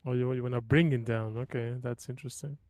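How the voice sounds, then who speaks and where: A man's voice: medium pitch, fairly even intonation, fast, a little mellow. A man playing a tabletop role-playing game, chatting casually and asking tentative, stammering questions.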